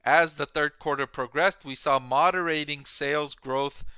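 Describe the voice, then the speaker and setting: A man presents financial commentary as an analyst addressing his viewers. His voice is clear and forward, with a bright edge, in a very dry recording. He explains each point plainly and deliberately. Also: a little high, steady tone, no echo. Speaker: host, stock analyst.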